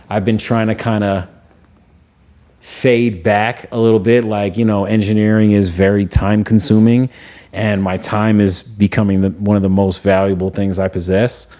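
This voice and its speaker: Laid-back rambling man, lowish voice. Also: darkish tone. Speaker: music producer and engineer. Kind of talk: casual podcast chat.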